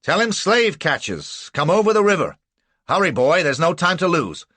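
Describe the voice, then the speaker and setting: Guy putting on a loud rustic townsman, raised pitch, bossing folks around, husky edge. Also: very animated, normal speed, no echo. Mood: urgent, bustling. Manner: rustic drawl, urgent commands. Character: excited folksy small-town man, helpful, middle-aged.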